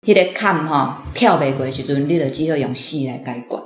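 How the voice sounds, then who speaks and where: A woman's voice: low voice, brisk, slight background noise. A woman with a Taiwanese accent, a reading club member, giving informal commentary on a story. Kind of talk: informal spoken book commentary. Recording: roomy sound, faint music.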